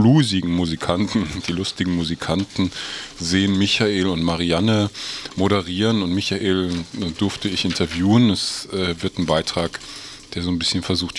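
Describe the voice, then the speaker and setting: Deep-voiced, tongue-in-cheek radio host. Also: male voice, a little hiss or hum. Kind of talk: informal radio moderation.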